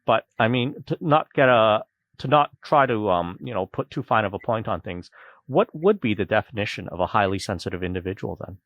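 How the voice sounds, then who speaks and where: A man's voice: lowish voice, animated, fairly quick, no room sound, phone-quality sound. A man from Canada hosting an interview, chatty and friendly, often restarting his sentences with fillers. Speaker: podcast host. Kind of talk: casual interview.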